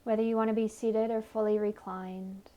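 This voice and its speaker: Woman, meditation guide, gentle soothing delivery, very even pitch, mellow warm tone. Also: lo-fi sound. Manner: soothing, gentle, unhurried invitations. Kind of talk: guided meditation, body scan narration.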